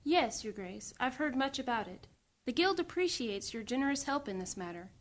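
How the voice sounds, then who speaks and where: A woman's voice: high-pitched, very animated, moderate pace. A woman playing a formal, deferential guild mage in a fantasy audio drama. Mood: polite.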